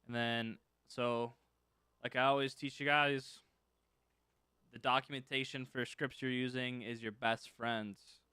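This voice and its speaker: Crisp, bright-voiced man coding live. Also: mid-range voice, level tone, unhurried, dry recording. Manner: thinks aloud while working, loose and informal, reads chat and answers. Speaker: streamer teaching game-server scripting. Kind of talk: casual live coding stream.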